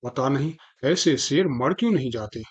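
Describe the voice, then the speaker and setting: A man's voice: mid-range voice, animated, normal speed, husky. A man voicing a sly, scheming tiger in an animal fable cartoon, with a coaxing, calculating manner. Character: sly, scheming male tiger, smooth-talking predator.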